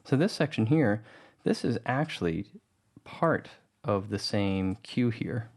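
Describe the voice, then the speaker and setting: A man with a fairly low voice talks through a music-editing tutorial. He keeps it relaxed and conversational, thinking aloud as he explains each trick. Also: takes it slowly, heavy background noise. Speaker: tutorial narrator.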